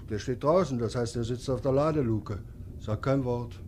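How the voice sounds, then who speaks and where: A man's voice: medium pitch, normal speed, warm tone, lightly acted. An actor playing a deferential sailor who explains himself at rambling length to his captain in a 1950s radio drama. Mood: nervous, deferential. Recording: old radio recording, faint noise, ship ambience.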